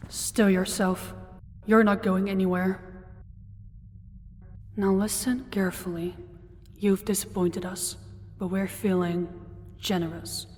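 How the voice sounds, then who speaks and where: A woman playing a commanding, possessive demon lord in an audio roleplay, speaking in a cold, deliberate, imperious manner. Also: mid-range voice, level tone, a little mellow, faint music behind, close to an everyday voice. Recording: long hall-like reverb.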